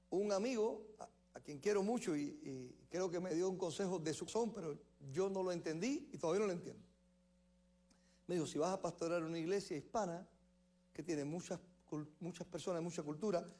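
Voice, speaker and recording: A Cuban pastor delivering a sermon in a notably high voice. He preaches emphatically, stretching out key phrases and stopping to correct himself mid-sentence. His accent is plainly Cuban throughout. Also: warm tone. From Cuba. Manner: emphatic, with slow stressed phrases and self-corrections.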